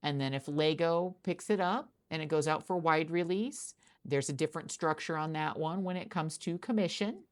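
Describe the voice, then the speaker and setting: A woman improvising a conversational ASMR roleplay as a job interviewer, with halting phrasing and a gravelly creak. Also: sits low.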